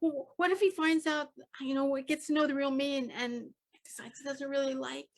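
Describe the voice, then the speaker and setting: A woman's voice: sits high, fairly even intonation, moderate pace. A woman improvising a nervous younger sister who stammers and worries before a date. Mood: anxious. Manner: anxious, hesitant, stammering.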